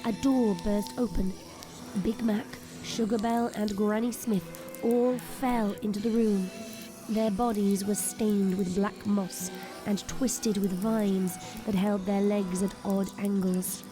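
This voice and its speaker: A woman narrating a scripted audio drama in a measured, ominous storytelling manner. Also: medium pitch, steady tone. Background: music.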